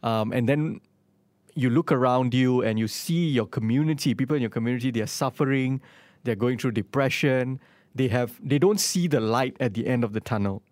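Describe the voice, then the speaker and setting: A man's voice: mid-range voice, normal speed, darkish tone, no echo. Male interviewer, close dry sound. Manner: encouraging, recaps the guest's story before asking. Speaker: interviewer, host. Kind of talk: conversational interviewing.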